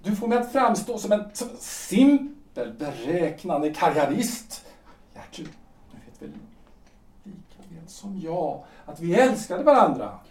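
A man's voice: high voice, sing-song, darkish tone. Man acting an anguished, volatile middle-aged husband. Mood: wounded, pleading. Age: 40s. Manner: halting, repeats phrases, bursts of feeling. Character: anguished middle-aged husband, lawyer and politician, pleading and possessive. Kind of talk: audio drama acting of a period stage play. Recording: roomy, distant microphone.

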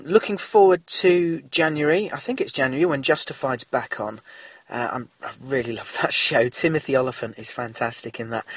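A man's voice: fairly high pitch, a bit bright, dry recording. Man, rambling podcast chat, dry close sound, a bit nasal. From England. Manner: chatty, rambling, frequent fillers and restarts. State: slightly nasal from a cold. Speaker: podcast host. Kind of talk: casual solo podcast chat. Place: small car-based mobile studio.

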